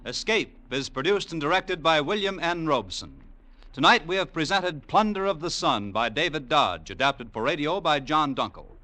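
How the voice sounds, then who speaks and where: A man's voice: a little high, lively intonation, moderate pace. An American man reading a scripted announcement in the style of 1940s network radio. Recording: old 1940s radio broadcast, music.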